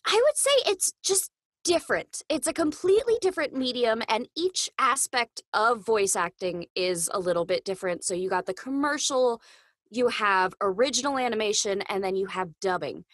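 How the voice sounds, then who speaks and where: A woman with a fairly high voice speaks as a podcast guest. She is articulate and upbeat in relaxed conversation. The sound is dry, with no room around it. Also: normal speed, no echo. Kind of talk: casual interview talk.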